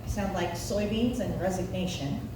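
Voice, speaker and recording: A woman in her forties from Nebraska with a Midwestern American accent performs live stand-up in a wry, self-deprecating, deadpan storytelling way. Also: fairly low pitch, normal speed. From Nebraska, United States. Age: 40s. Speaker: stand-up comedian. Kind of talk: live stand-up comedy routine. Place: live comedy venue. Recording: echoey room, distant mic, background noise.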